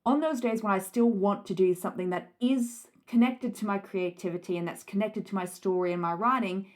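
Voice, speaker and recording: A woman from Australia, a writing coach in her thirties, answering viewers' writing questions in an encouraging, conversational way. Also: medium pitch. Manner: warm encouraging, rhetorical questions. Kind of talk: Q&A advice talk to camera.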